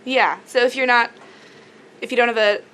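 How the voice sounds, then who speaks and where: A woman's voice: fairly high pitch, moderate pace, fairly bright tone, slight background noise, rough recording. A young woman from South Africa, a native Afrikaans speaker, chatting easily as a musician guest, often giggling and joking dryly. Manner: giggly, easygoing, dry jokes. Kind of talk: casual interview chat.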